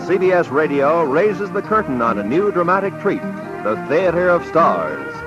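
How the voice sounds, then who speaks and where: A male American radio announcer reads scripted credits and promotions in a polished 1950s manner. His voice is fairly high and very animated, over clear music on a slightly muffled broadcast.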